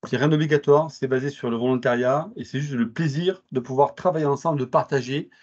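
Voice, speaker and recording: Husky man with a southern French accent. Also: medium pitch, lo-fi sound. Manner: measured, with hesitant fillers and restarts. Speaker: interviewed guest. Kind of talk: formal interview answers.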